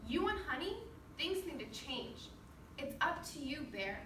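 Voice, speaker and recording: A woman voicing a very small, loud, indignant bee with a demanding, sarcastic manner in a storybook reading. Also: sits high, big pitch swings, unhurried. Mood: firm, aggrieved. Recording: roomy, distant microphone, clear noise.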